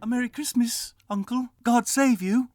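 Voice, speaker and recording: A man doing a cheerful, high-voiced young nephew. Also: moderate pace. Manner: high bright voice, eager and pleading.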